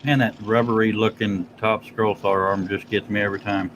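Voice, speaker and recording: A man's voice: on the low side, level tone, moderate pace, background noise. A man co-hosting a live stream, reading out viewer comments and dropping dry, teasing one-liners. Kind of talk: casual live-stream chat.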